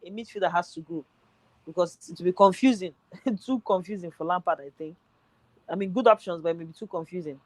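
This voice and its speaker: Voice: mid-range voice, brisk, a little mellow, dry recording, poor audio. A speaker talking football on a casual podcast, thinking aloud with lots of fillers, voice nearly worn out. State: voice tiring, nearly gone.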